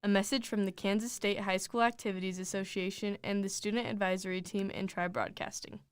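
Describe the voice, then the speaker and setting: A woman's voice: mid-range voice, level tone, a little fast, smooth, dry recording, clean studio sound. A woman reading a scripted public service announcement.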